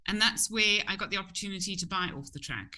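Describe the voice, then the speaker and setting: Woman, Zimbabwean accent, middle-aged, bright voice, chatty guest. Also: mid-range voice, quick pace. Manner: open and chatty, some restarts. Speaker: tour company owner, guest. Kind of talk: interview.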